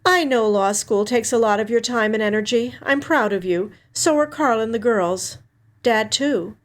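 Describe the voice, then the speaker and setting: A woman voicing a grieving, dutiful older sister in a story reading, with an earnest, gentle delivery. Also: a little high, moderate pace, voice pushed up, lightly acted. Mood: tender. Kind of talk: audiobook character dialogue.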